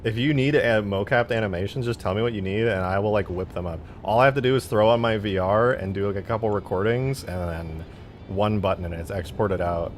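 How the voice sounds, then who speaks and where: A man's voice: on the low side, fairly quick, a little hiss or hum. A man livestreaming in a casual, slangy way, reading out viewer comments and talking with self-deprecating bluntness and some swearing. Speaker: live streamer.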